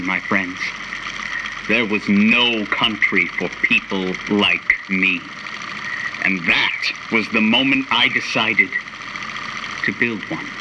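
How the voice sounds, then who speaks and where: An actor playing an imperious, cold, grandiose city founder in a radio drama, speaking in a measured, formal, oratorical way. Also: male voice, on the low side. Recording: steady background noise over voice.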